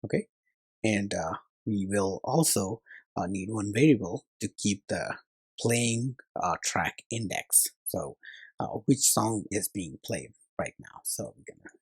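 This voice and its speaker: A man's voice: on the low side, slow pace, breathy edge, no room sound. A man narrating a step-by-step coding tutorial as its instructor, hesitantly thinking aloud as he works.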